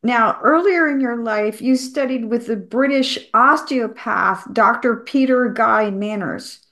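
Higher-voiced woman interviewer. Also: measured pace, fairly bright tone. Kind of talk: interview questions.

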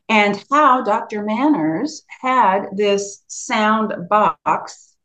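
A middle-aged woman from the United States with a soft Southern US accent answers interview questions slowly and deliberately. She leans on frequent fillers as she talks, and her voice sits at an ordinary middle pitch. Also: rough recording. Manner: slow, measured, with frequent fillers. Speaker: guest, sound healing practitioner.

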